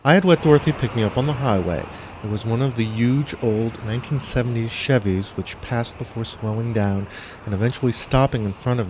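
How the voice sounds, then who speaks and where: A man's voice: on the low side, background noise. A man reading his own scripted first-person fiction as an audiobook narrator.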